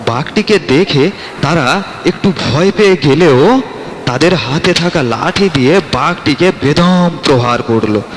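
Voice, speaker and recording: A man's voice: medium pitch, a bit bright. A man narrating a scripted fairy tale as a storyteller. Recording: long reverb, faint background noise.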